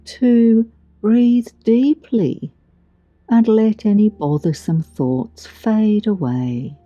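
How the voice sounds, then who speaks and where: A woman guiding a meditation in a soothing, gentle, deliberate way with long pauses, recorded with a muffled sound. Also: medium pitch, no echo. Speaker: meditation guide. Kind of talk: guided meditation narration. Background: background music.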